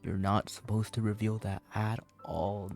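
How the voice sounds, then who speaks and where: A man's voice: fairly low pitch, flat delivery, a little slow, vocal fry, a little mellow, soft background music. A man giving casual, slangy reaction commentary as he plays a video game, in a laid-back manner.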